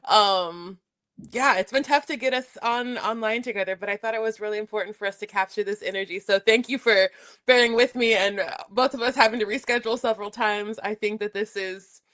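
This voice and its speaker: A woman's voice: mid-range voice, brisk, a bit bright. A woman hosting her own podcast, chatting and laughing with a guest over a video call. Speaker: podcast host. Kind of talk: casual interview chat.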